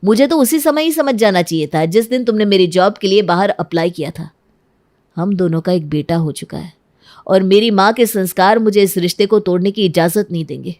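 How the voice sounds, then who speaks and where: A woman's voice: mid-range voice, brisk, a little mellow, close to an everyday voice. A woman playing a hurt, resolute young husband and father in a cartoon family drama, stern and reproachful. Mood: bitter reproach.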